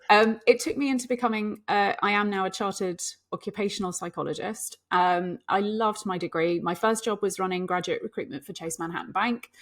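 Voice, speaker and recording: Middle-aged woman from Hong Kong, podcast guest, candid and blunt, mid-range voice. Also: medium pitch. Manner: frank and candid, with dry asides. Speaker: guest, occupational psychologist. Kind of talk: podcast interview.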